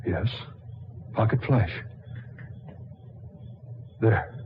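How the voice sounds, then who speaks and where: A man playing a solicitous, gentlemanly doctor in 1940s radio horror, courteous and a little hesitant. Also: very slow, a little hiss or hum. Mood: alarmed. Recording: muffled 1940s radio recording.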